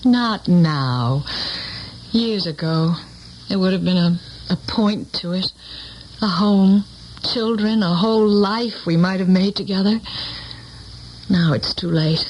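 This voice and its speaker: Earnest, slow-spoken woman. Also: fairly low pitch, darkish tone. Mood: wistful. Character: earnest, pleading woman, determined old flame of a politician. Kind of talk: 1970s radio drama acting. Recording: noisy 1970s radio broadcast tape.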